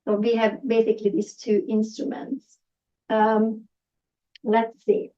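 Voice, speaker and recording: A woman's voice: on the high side, completely flat delivery, slow pace, mellow. A woman from Hungary with a Hungarian accent, giving a public talk to a live audience with many fillers and restarts. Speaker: presenter. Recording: distant, dull lo-fi room recording.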